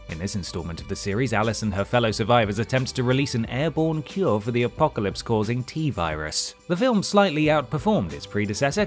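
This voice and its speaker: A man narrates a comedic list as its host, his mid-pitched voice rising and falling in a lively way. He slips in wry, sarcastic asides between the scripted lines. Music plays faintly behind him. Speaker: host of a video countdown.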